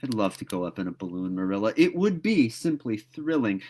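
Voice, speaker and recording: A man's voice: lowish voice, very animated, fairly quick, a little mellow. A man voicing a talkative, dreamy young girl in a storybook read-aloud, earnest and gushing. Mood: wistful, excited.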